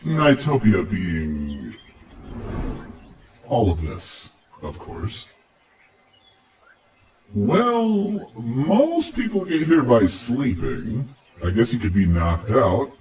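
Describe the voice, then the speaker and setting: A man's voice: medium pitch, big pitch swings, drawn-out words, long pauses, a little mellow, recorded from a distance, slight background noise, full character voice, low-quality recording. A man voicing a cocky, teasing androgynous dream spirit guide with a sardonic, playful, theatrical manner. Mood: playful, smug. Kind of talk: character voice acting.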